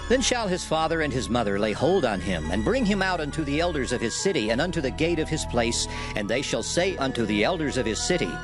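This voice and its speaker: A man's voice: medium pitch, sing-song, fast, few pauses, rough recording. A man narrating a dramatized reading of archaic scripture in a solemn, declamatory manner. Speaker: Bible narrator. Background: music under the voice.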